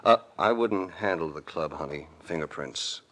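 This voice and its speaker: An actor playing a shrewd, calm, world-weary investigator with an American accent and a cool, deliberate delivery in an old radio drama. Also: male voice, a bass voice. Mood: cool deduction. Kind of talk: 1940s-50s radio drama acting. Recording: vintage radio recording.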